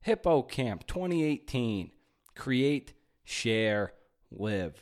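A man's voice: mid-range voice, very animated, drawn-out words. A man hosting a podcast, speaking in a punchy, emphatic way with playful exclamations. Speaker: podcast host. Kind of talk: podcast intro and interviewing.

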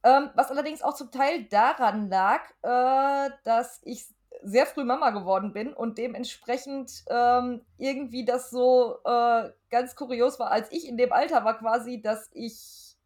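Higher-pitched woman chatting casually. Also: measured pace, darkish tone. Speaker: podcast guest. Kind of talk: casual chat.

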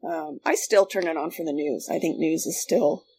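A woman's voice: sits low, normal speed, mellow, a little hiss or hum, rough recording. A middle-aged woman in casual, rambling conversation as a podcast guest, often repeating her phrases. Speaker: podcast guest, former radio worker. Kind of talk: casual chat.

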